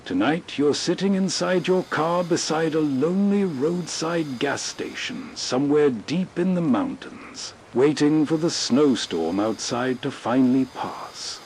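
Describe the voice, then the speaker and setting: A man hosting with scripted, atmospheric radio-night narration in a measured storytelling manner. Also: on the high side, expressive, a little mellow, slight background noise. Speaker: host narrator.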